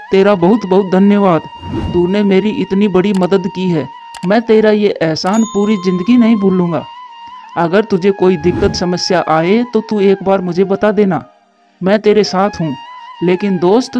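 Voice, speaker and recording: A man's voice: sits high, warm tone, highs cut off, lightly acted. A man playing a worried pigeon businessman in a children's cartoon, explaining himself earnestly to a friend. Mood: grateful. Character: worried male pigeon factory owner, grateful friend. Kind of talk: Hindi cartoon voice acting.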